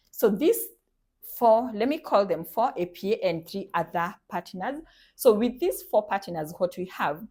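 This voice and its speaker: A woman psychologist with a Kenyan accent, earnestly urging viewers in a promotional talk, repeating her points. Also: on the low side. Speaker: psychologist and center director.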